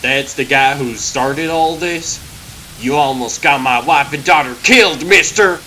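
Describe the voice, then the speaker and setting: A man's voice: fairly high pitch, measured pace, very noisy, lightly acted. A man playing a protective, indignant husband and father with a dry, exasperated manner in a comic book dub. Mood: angry.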